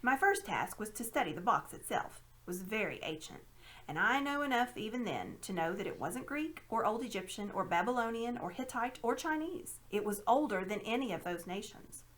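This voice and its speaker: A woman's voice: mid-range voice, animated, moderate pace, fairly bright tone, lightly acted. Smug old scheming uncle for a storybook, played by a woman: grandiose, condescending, widely swooping delivery. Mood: grand, boastful. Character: smug, self-important old man, a scheming magician uncle. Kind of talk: storybook read-aloud character voice.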